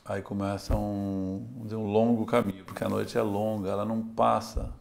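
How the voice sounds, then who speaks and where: Gravelly low-voiced man from Santos. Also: flat delivery, brisk, a little mellow. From Santos, Brazil. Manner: reflective, tells stories with small laughs and "né?". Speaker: sailor and adventurer. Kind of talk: relaxed interview talk.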